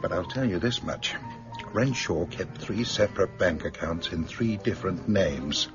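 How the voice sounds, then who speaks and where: A low-voiced British police chief in a radio play. He is measured, formal and drily sardonic. Music plays clearly behind the slightly muffled sound. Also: expressive. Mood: guarded, sardonic. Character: dry, guarded male police chief superintendent. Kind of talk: radio drama acting.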